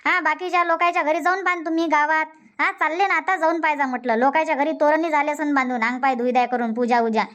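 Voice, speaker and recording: A woman's voice: high voice, steady tone, fast talker, fairly bright tone. A woman voicing a nagging, aggrieved village housewife in a comedy cartoon, scolding and complaining repeatedly in a Varhadi Marathi accent. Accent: Varhadi Marathi (Vidarbha).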